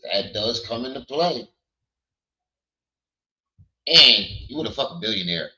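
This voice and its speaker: A hoarse man hosting a casual livestream, blunt and quick to laugh while greeting his chat. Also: medium pitch, expressive, pushed and bright, highs cut off. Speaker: livestream host.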